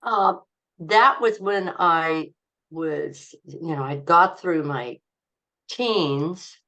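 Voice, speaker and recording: An older woman speaking slowly in a low voice, a comedian sharing life stories with an interviewer. She rambles and halts with fillers and laughs often as she goes. Her talk is low and unhurried. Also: sharp tone, lo-fi sound. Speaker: comedian guest. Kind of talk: casual interview storytelling.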